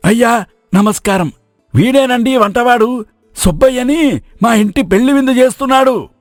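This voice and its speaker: A man's voice: extremely high pitch, normal speed, no room sound, studio quality, faint music behind. A man playing a fussy, respectful village wedding host in an acted moral story. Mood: anxious, deferential. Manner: fussy, deferential.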